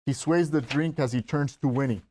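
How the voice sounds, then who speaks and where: Male narrator, mid-pitched, reading scene action for a script table read. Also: brisk, dark tone, a little hiss or hum. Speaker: stage-direction narrator.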